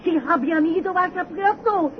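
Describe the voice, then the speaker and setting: A woman's voice: extremely high pitch, fairly quick. An actress playing a frightened, superstitious old servant woman in a radio horror drama, urgent and wailing. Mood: fearful warning. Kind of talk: 1930s radio horror acting. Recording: muffled, noisy old radio recording.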